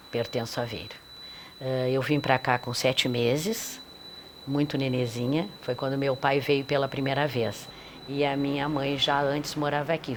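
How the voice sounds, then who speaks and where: A woman in her seventies from Portugal recalls her childhood in an interview. She talks fondly and chattily. A faint ambient noise sits behind her voice. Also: rumbling low. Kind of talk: personal account in an interview.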